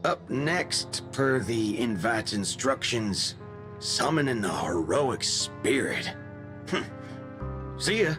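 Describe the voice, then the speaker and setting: A man voicing a cocky, playful cowboy gunslinger in a video game, talking in folksy slang with softened swear words. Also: medium pitch, big pitch swings, takes it slowly. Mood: wary, resolute. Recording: game dialogue over music.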